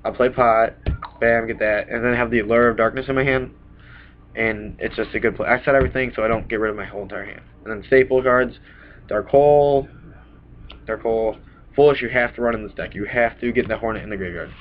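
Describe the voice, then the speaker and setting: A man's voice: mid-range voice, brisk, a little hiss or hum. A young man getting over a cold, casually rambling through a card deck to a webcam in a small room, often punctuating with "bam". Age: young adult. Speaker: card game vlogger. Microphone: webcam at desk. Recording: dull lo-fi webcam audio.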